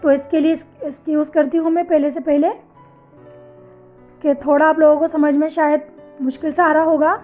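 A woman's voice: high-pitched, flat delivery, moderate pace, darkish tone, faint music behind. A woman, hosting a fashion channel in a casual, chatty voice-over that addresses her viewers as sisters and mothers. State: sore throat. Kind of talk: casual voice-over for a slideshow of products.

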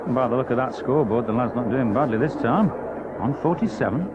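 Man playing gossiping cricket fan, wry, animated pitch, radio play, dull sound. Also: fairly quick, close to an everyday voice. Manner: wry, anecdotal. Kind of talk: 1980s radio drama acting. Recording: muffled, noisy outdoor radio play scene.

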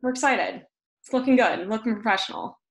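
A young woman presenting a team update on a video call, earnest and often pausing mid-sentence. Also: a little high, rough recording. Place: room at home, indoors. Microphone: computer mic on a video call.

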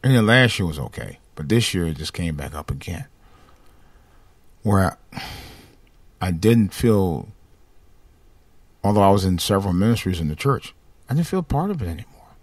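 A man speaks on a casual live talk stream with a light voice that he says lacks bass. He rambles and restarts his sentences, and his pitch rises and falls widely. The recording is dry, with no room sound. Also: big pitch swings, moderate pace, long pauses, no room sound. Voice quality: light voice without much bass. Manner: rambling, repeats and restarts phrases. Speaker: podcast host. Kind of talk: casual personal monologue.

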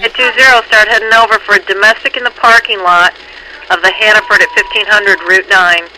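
High-voiced male dispatcher on noisy police radio. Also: steady tone, a little fast, pushed and bright. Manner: procedural, clipped phrasing.

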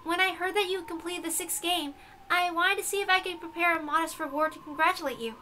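A devoted, meek young woman, voiced by a narrator in a visual novel. She speaks very high and softly, with polite, halting deference. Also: a little hiss or hum. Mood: deferential. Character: meek, formal, devoted young woman addressing her father.

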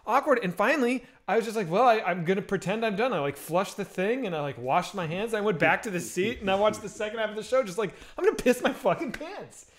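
A man's voice: high voice, fast, hardly any pauses. A man in his thirties hosting a casual video-call chat, telling crude stories with lots of energy, on a headset mic at home. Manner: crude, animated storytelling. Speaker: podcast host. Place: home room with bookshelves.

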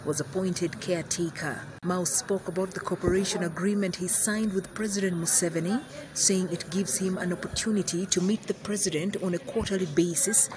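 Woman, Ugandan accent, news reporter, scripted voice-over, even delivery, noisy bed. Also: lowish voice, a little fast.

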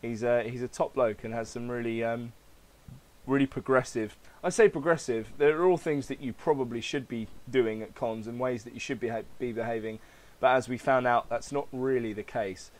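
A man's voice: mid-range voice, moderate pace. A man hosting a podcast, chatting casually and reading aloud in an informal, hesitant manner, his throat slightly crackly. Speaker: podcast host.

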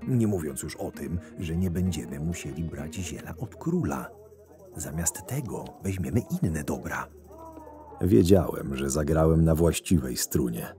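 A man playing a shrewd, sardonic right-hand man to a camp boss, narrating a fantasy audio drama in a measured way. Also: extremely low pitch, lively intonation, warm tone. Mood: hushed, persuasive. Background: music.

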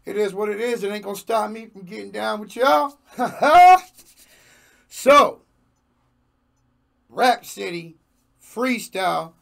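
A young man with a hoarse, gravelly voice pitched on the high side chats slowly and casually as a reaction host. He laughs easily and speaks close to a desk microphone in a small home room. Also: expressive, fairly bright tone. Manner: slangy, laid-back, laughs often. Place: small home studio room with jerseys on wall. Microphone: large desk microphone in front.

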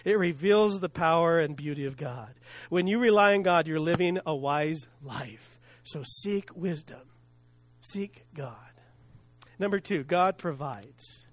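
A man's voice: on the high side, lively intonation. Earnest middle-aged male pastor preaching. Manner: earnest, preaching from a lectern with pauses and soft drops; self-deprecating laugh at hard words. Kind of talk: sermon. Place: church sanctuary with stage and screen. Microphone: stand mic at lectern, filmed from the back.

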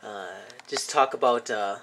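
A man's voice: mid-range voice, unhurried, a bit bright, slight background noise. A man sick with a cold, talking casually in a home vlog, hesitant with many ums and restarts. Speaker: aquarium hobbyist vlogger. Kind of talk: casual show-and-tell vlog. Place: indoors at home.

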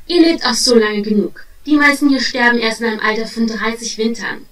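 A woman's voice: a little high, fairly even intonation, fairly quick, fairly bright tone, natural voice. A woman playing a cold, imperious night-elf sorceress who threatens in a fantasy audio drama. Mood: haughty. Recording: roomy, distant voice over faint music.

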